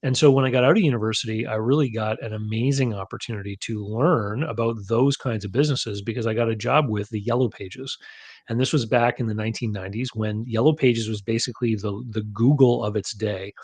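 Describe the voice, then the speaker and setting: Canadian guy guesting on a podcast, talks fast, friendly storyteller, dry sound. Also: fairly low pitch, steady tone, darkish tone, slightly rough, no room sound. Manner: brisk, friendly, explanatory storytelling. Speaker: podcast guest, business consultant. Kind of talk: conversational interview.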